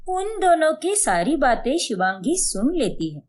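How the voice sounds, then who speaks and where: Slow, very expressive woman storyteller. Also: high-pitched. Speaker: narrator. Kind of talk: scripted narration of animated moral story.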